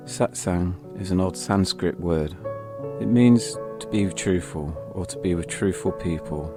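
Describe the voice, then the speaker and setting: Deep-voiced reflective man narrating over music. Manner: reflective, short measured phrases. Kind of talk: first-person audio memoir, scripted narration.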